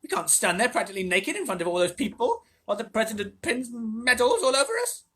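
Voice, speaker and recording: A man voicing a shrill, fretful old grandmother in a storybook reading, crying out in a high, wailing voice. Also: wildly swooping pitch, moderate pace, a bit bright, full character voice. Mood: alarmed. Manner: wailing, high-pitched cries.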